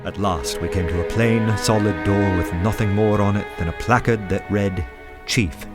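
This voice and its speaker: Earnest male detective lead played by a man, low voice, measured dramatic narration, radio play over music. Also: steady tone, close to an everyday voice. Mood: brooding, dramatic. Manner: measured, literary, dramatic storytelling. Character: earnest male detective recounting his undercover adventure.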